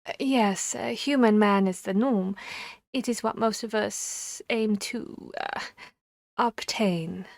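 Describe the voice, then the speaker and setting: A woman voicing a harpy seeking a human mate, commanding yet courteous and bashful, speaking formally and deliberately with hesitant pauses in an ASMR roleplay. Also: medium pitch, vocal fry, no room sound, studio quality. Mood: hesitant, explaining.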